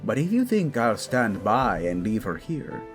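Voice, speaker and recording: Man as exiled prince, earnest, defiant, dramatic audio drama, swooping pitch, music underneath. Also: huge pitch swings, a little slow. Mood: firm, protective. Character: exiled, defiant young prince, protective brother. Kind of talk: audio romance drama acting.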